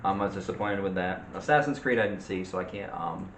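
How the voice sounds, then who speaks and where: Low-voiced, halting middle-aged man. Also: a bit bright. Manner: halting, plain-spoken. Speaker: co-host of a movie review channel. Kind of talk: casual chat. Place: room at home. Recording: roomy echo, faint background noise.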